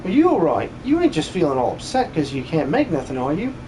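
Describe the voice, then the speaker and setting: A man's voice: a little high, wildly swooping pitch, brisk, noisy background. A man voicing a good-natured, cheeky rustic villager for a game dub, chatty and colloquial. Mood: reassuring.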